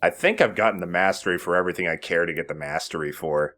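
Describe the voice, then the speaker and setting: A man's voice: sits low, quick pace, fairly bright tone. A man casually commentating as he plays a video game, rambling, joking and swearing. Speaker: let's play host, playing the game. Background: game soundtrack music.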